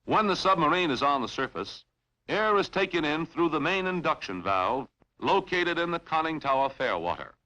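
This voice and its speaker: A man reading scripted instructional narration in a formal, measured, clearly enunciated way, as in a training film. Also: fairly high pitch, sing-song, bright, forceful tone, lo-fi sound.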